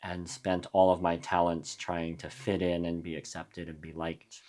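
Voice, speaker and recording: Young adult male guest, very low voice, reflective and halting. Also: little pitch movement, a little mellow. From USA (New Mexico). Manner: reflective, halting, frequent restarts. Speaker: guest musician. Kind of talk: casual interview chat.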